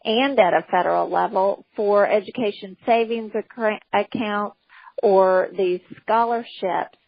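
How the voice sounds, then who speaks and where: A woman's voice: medium pitch, unhurried, creaky, no room sound. Woman hosting over a muffled phone line. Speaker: host of the conference call. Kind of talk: interviewing on a conference call. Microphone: telephone. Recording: dull telephone conference-call line.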